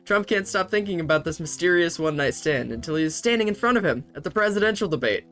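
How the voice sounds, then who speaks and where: A man's voice: fairly high pitch, animated, fast talker, a bit bright, soft background music. A young man hosting a comedy video, reading fanfiction aloud with sarcastic asides and frequent laughter. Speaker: host.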